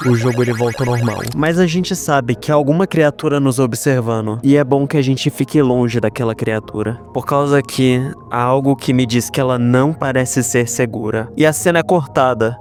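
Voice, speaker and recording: A man with a Brazilian Portuguese accent narrating a horror story dramatically as a video voice-over. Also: medium pitch. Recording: voice-over with loud background music. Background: prominent music.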